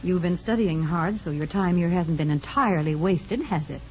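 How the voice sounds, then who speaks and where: An actress playing a kindly, businesslike prison matron in a 1950s radio drama. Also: female voice, on the low side, normal speed, lightly acted. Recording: muffled old radio broadcast.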